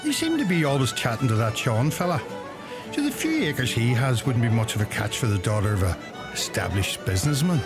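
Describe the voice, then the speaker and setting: A man's voice: medium pitch, very animated, a little fast, music in the background, lightly acted. A man playing a gossipy, self-important village publican with a Donegal Irish accent in a radio play.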